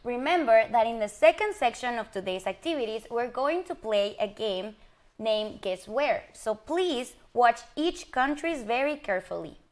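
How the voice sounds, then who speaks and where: A woman's voice: fairly high pitch, animated, moderate pace. A woman hosting an online student showcase, announcing each country. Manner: announcing, welcoming. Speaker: event host. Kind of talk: scripted hosting.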